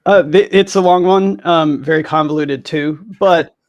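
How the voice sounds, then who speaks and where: A man in his thirties or forties, a game publisher, explaining things casually and haltingly as an interview guest. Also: fairly high pitch, no room sound.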